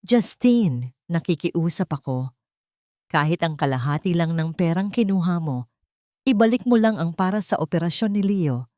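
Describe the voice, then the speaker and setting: A betrayed mother pleads desperately in an audio drama, voiced by a woman narrator. She is anguished and imploring, in a lowish voice with dry, close sound. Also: lively intonation, normal speed, mellow, no room sound, lightly acted. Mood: desperate pleading.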